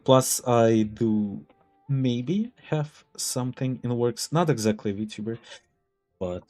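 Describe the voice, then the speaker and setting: Guy streaming, slow halting chat w viewers, music in the back. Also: mid-range voice, mellow. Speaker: live streamer reading chat.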